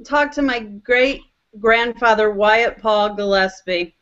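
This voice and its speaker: A woman's voice: fairly high pitch, slow, fairly bright tone, smooth. American woman chatting, slightly muffled call. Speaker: panelist on a genealogy web show. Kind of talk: casual chat. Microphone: webcam video call. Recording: dull, compressed internet call audio.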